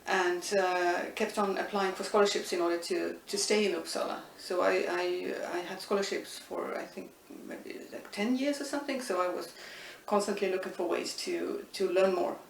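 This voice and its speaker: A middle-aged woman, thoughtfully answering interview questions with many pauses and restarts. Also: fairly low pitch, creaky. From Estonia. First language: Estonian. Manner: thoughtful, frequent hesitations and restarts. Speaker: interviewee, linguistics professor. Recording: echoey room, distant mic, faint noise.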